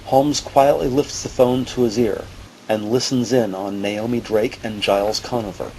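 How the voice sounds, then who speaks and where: A man's voice: lowish voice, fairly even intonation, normal speed, dark tone, poor audio. A man reading scripted present-tense narration for a detective audio drama. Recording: faint background noise and ambience.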